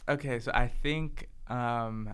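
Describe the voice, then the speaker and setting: A young man co-hosting a casual chat, soft-spoken and hesitant, filling his talk with "like" and "um". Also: medium pitch, fairly even intonation, slow pace, clear, resonant tone. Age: young adult. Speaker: podcast co-host.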